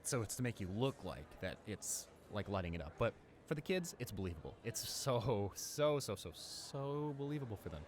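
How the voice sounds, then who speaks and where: A man's voice: fairly low pitch, very animated, moderate pace, hardly any pauses. Man playing a chatty teenage schoolboy, noisy ambience. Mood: enthused, explaining. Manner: rambling, enthusiastic, hesitant with stammers and repeats. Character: friendly, eager, chatty male high school senior. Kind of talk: roleplay audio drama, casual one-sided conversation. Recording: clear background noise, ambience.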